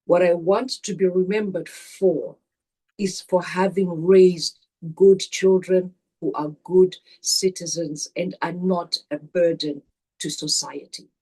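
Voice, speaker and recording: An older woman, answering interview questions slowly and reflectively, pausing and restarting as she thinks. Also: lowish voice, a little mellow, poor audio. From Zambia. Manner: slow, deliberate, with pauses and restarts. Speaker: guest.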